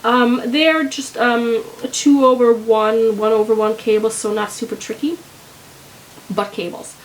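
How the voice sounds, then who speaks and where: A woman with a head cold talks about her knitting projects, and her voice sits a little high and sounds nasal and congested. She chats casually and loosely to her viewers, often pausing and searching for words. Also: fairly even intonation, slight background noise, poor audio. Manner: rambling, hesitant, full of "um"s. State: congested, sick. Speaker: knitting vlogger. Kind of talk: casual chat to camera.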